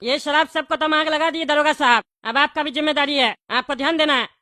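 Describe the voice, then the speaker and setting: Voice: sits high, fairly even intonation, quick pace, no echo, studio quality. A performer voicing a bossy, self-important male village politician in a Hindi cartoon, preachy and commanding. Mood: stern, bossy. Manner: commanding, preachy, repeatedly demands agreement. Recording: loud background noise with clicks.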